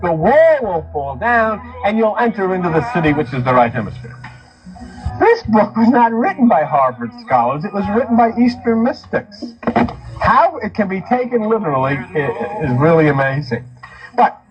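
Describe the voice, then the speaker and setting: High-pitched, emphatic man lecturing over music. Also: very animated, normal speed, highs cut off. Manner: emphatic, repeats phrases for stress. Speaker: lecturer on religion and mysticism. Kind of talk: impassioned lecture. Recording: speech mixed over music.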